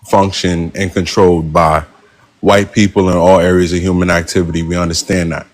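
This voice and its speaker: A man from Brooklyn, New York, calling in with a sore throat, speaking in a measured, hesitant, polite way. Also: low-pitched, little pitch movement. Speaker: caller.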